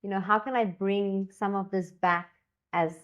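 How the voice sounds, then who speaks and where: A woman's voice: medium pitch, fairly even intonation, measured pace, a bit bright. Enthusiastic woman, muffled. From South Africa. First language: Afrikaans. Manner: enthusiastic, rambling with many fillers and restarts. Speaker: co-founder and education designer. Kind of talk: casual conversation.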